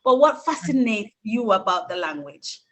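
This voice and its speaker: A woman's voice: mid-range voice, sing-song, moderate pace, fairly bright tone. A woman, hosting a conversational interview over a video call. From Jamaica. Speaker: interviewer at a school. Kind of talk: conversational interview with prepared questions.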